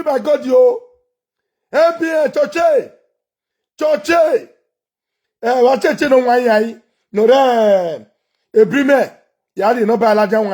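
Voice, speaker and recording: A man, ranting loudly and repeating short chanted phrases over and over. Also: very high voice. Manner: repetitive, shouting chants. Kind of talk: shouted rant.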